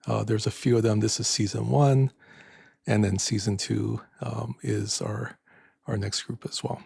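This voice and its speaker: An older man with a raspy voice hosting a live stream in casual chat, soft-spoken and halting with many fillers. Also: lowish voice, flat delivery, dry recording, clean studio sound.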